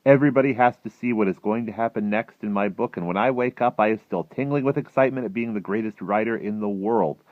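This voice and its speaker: A man voicing an excitable, boastful young boy breathlessly telling storybook dreams in mangled grammar. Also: on the low side, fast talker, few pauses, no room sound, highs cut off, voice pushed up, natural voice. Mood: gleeful, boastful.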